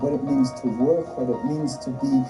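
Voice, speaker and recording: A speaker delivering a formal, steady speech. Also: medium pitch, moderate pace, recorded from a distance, music in the background.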